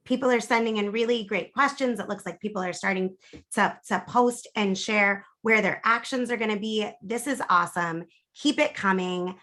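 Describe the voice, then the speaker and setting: Woman, webinar host, bright tone, raised pitch, informal moderating, video call.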